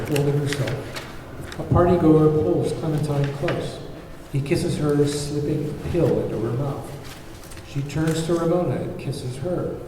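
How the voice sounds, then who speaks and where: A man reading out scene directions as narrator at a screenplay table read. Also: medium pitch. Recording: very echoey hall, far mic, faint noise.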